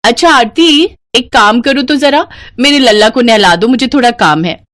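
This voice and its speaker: A woman's voice: fairly high pitch, normal speed, a bit bright, dry recording, voice pushed up, natural voice. A woman voicing a chatty, bossy elder sister-in-law in a cartoon family story, with a breezy, familiar manner. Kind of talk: Hindi cartoon story dialogue.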